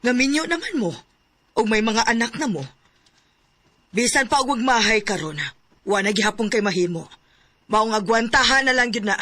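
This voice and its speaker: A woman acting a worried, gentle middle-aged mother advising her child in a Filipino radio drama. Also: a little high, moderate pace, long pauses. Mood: resigned, consoling. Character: worried, supportive, resigned mother of a young wife.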